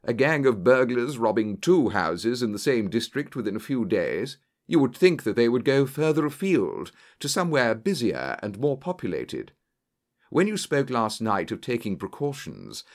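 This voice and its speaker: Guy doing a clever detective in an audiobook, thoughtful and sure of himself, medium pitch, very animated. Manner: thoughtful, precise. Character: keen, musing, self-assured male detective.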